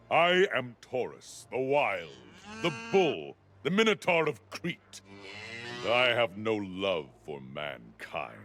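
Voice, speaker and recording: Deep, gravelly Minotaur warrior. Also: male voice, fairly bright tone, heavily acted. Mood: bold, challenging. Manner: grandiose, slow theatrical declamation. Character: huge proud ancient Minotaur bull-man, warlike but honest. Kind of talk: video game character dialogue. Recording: game music under the voice.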